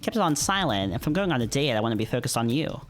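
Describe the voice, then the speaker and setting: A man's voice: mid-range voice, very animated, quick pace, close to an everyday voice. A man voicing an anthropomorphic fox, an assured and flirtatious date in a visual novel, over music. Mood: flirty, assured. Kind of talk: visual novel character read-aloud.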